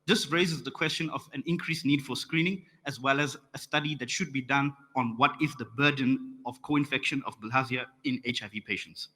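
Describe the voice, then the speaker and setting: A man's voice: medium pitch, fairly quick, few pauses, bright, forceful tone. A young South African man with a South African accent giving a friendly, lightly joking conference report and calling for slides. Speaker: conference track rapporteur. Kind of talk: conference presentation. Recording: reverberant conference hall.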